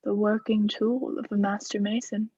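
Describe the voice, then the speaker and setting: A woman acting a coolly scheming aristocrat in a radio play, formal and measured, feigning friendly concern. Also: medium pitch, little pitch movement, warm tone, slightly rough, close to an everyday voice. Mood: sly, courteous. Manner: formal, measured, slyly solicitous. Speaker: student actor in a radio play. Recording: echoey, slightly muffled recording.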